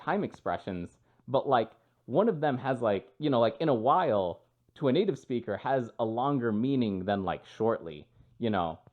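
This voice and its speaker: A man's voice: medium pitch, narrow, tinny audio. A man co-hosting a podcast in casual chat, rambling and filling his talk with "like" and "you know". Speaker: podcast co-host.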